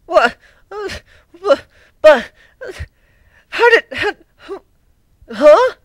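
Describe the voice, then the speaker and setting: Cartoon female unicorn scholar , acted by a story reader; flustered, eager, squeaky high. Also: big pitch swings, drawn-out words, no room sound, full character voice. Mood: baffled. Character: bookish, excitable female unicorn student.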